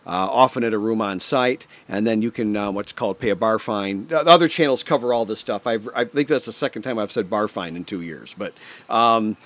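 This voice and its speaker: A man's voice: on the low side, a little fast, fairly bright tone, lo-fi sound. A middle-aged man from Michigan talking unscripted to camera, rambling and restarting his words often. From Michigan, USA. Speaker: vlogger on retiring abroad.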